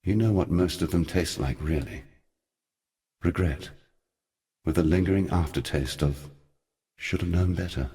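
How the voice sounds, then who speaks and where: A man playing an ancient, sardonic god in a video game, telling dark lore in a measured, theatrical and eloquent way with a weighty voice. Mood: wry, brooding. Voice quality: very deep, weighty voice. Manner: measured, theatrical, wry storytelling. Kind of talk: scripted video game character monologue.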